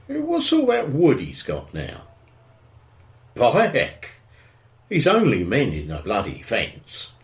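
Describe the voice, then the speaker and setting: An actor playing a grumbling, indignant middle-aged suburban husband in an audio drama, with an exasperated way of talking. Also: male voice, medium pitch, huge pitch swings, takes it slowly, pauses often, exaggerated voice. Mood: disgruntled. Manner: grumbling, exasperated, mild swearing.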